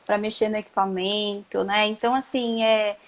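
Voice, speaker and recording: A woman's voice: medium pitch, flat delivery, moderate pace. A middle-aged woman, a chemistry professor, chatting casually about her studies on a live video call, with frequent tag questions and restarts. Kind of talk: casual interview on a live stream.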